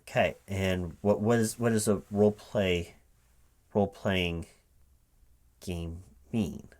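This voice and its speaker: A man playing a polite, flustered hiring manager in an improvised interview roleplay, speaking aloud in a halting, rambling, stammering way. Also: low-pitched, darkish tone. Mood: baffled, curious. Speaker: recruiting manager in a one-sided roleplay.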